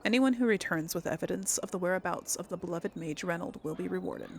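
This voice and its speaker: A woman's voice: on the low side, fast talker, creaky. A woman doing casual, chatty let's play commentary, thinking aloud and reading in-game text. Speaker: host of a role-play game series.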